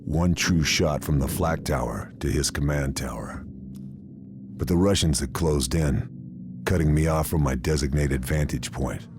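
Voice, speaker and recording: An actor playing a hardened American wartime sniper officer, narrating a war game in a terse, world-weary, laconic manner. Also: male voice, rumbling low, a little slow, noisy background. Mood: terse, resolute. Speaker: narrator and protagonist.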